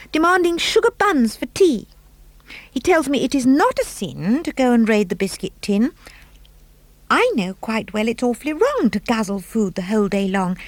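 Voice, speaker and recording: Insistent little child, put on by a woman reader, high pleading voice, bouncing pitch, storybook. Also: no room sound.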